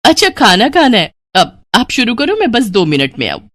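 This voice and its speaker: A woman's voice: a little high, wildly swooping pitch, moderate pace, fairly bright tone, voice pushed up, heavily acted. A woman playing a cheerful, sweet and eager young woman in an audio story. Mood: cheerful, coaxing.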